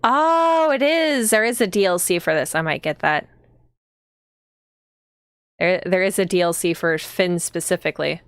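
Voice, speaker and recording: A woman hosts a casual live stream in a fairly low, very clear voice. The sound is dry, with almost no room to it. She talks informally, straight to her viewers. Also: a little slow, pauses often, no echo. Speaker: streamer and host.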